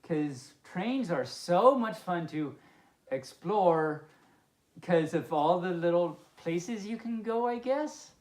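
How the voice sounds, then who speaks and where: Lady doing kids storytime as a playful pup, slow pace, really animated, chatty little comments. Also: fairly low pitch. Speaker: host of a children's story time. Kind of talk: expressive storybook read-aloud with chatty asides. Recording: roomy, echoing indoor sound.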